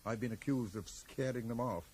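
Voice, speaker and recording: An actor playing a middle-aged, very correct British professor in a radio drama, guarded, brusque and easily affronted. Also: male voice, medium pitch, normal speed, a little mellow, natural voice. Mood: irritated, indignant. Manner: stiff, formal, brusque. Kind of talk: 1980s radio drama acting. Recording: faintly noisy 1980s radio broadcast.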